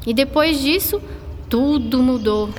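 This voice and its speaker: A reverberant, noisy radio drama recording features a chatty schoolgirl with a high, girlish voice. She is curious and easily puzzled, speaking informally and expressively. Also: slow. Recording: long reverb, clear background noise.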